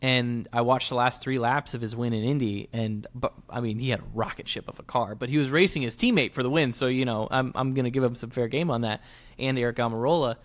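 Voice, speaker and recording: A man's voice: medium pitch, fast talker. Man casually talking racing as co-host. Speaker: motorsports podcast co-host. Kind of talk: casual sports analysis chat.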